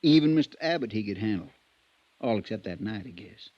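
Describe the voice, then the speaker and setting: A man's voice: on the low side, animated, brisk. A man playing a loyal, worried stable hand in a 1950s radio drama, speaking in a folksy, hesitant, respectful way. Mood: reflective. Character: deferential, plain-spoken male stable hand, loyal and worried. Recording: dull, muffled vintage radio recording.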